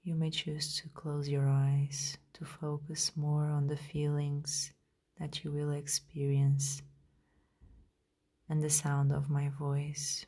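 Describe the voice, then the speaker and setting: A woman guides a meditation in a low, mellow voice. She speaks very slowly and gently, leaving long pauses between phrases. The delivery is soft and soothing, turning breathy at times. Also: completely flat delivery. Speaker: meditation guide, podcast host.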